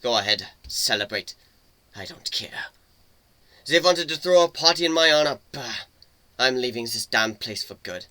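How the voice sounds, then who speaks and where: Casting: male reader as gruff, war-weary robot pony, sharp and forceful, bitter and swearing, storybook drama. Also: medium pitch, animated, normal speed, no room sound. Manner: intense, angry, curt, swearing. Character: battle-worn older male mechanical pony, bitter and gruff.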